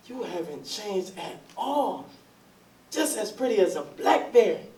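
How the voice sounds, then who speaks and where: A man's voice: sits high, very animated, unhurried, mellow. Man acting ardent middle-aged suitor, distant and roomy. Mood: ardent, reassuring. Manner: folksy, effusive speech. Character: ardent, insistent 42-year-old man courting his lost sweetheart. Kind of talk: readers theatre stage drama. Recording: roomy, distant, noisy recording.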